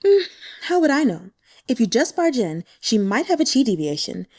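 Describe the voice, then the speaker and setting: A woman's voice: on the high side, big pitch swings, normal speed, slightly rough. A woman voicing a sly, exasperated sect protector in an audiobook, outwardly respectful and coaxing. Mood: deferential, wheedling. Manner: soft-spoken, coaxing, teasing.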